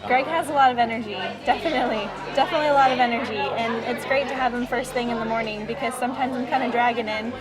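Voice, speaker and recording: A woman's voice: a little high, fairly even intonation, fast, hardly any pauses, rough recording. A young woman, a student, giving a casual interview testimonial. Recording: loud music and crowd noise behind. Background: loud music, crowd.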